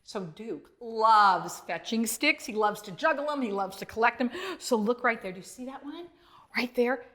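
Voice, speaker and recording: A woman reading a children's book aloud as its author, in expressive narration that speaks directly to young listeners. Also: a little high, moderate pace.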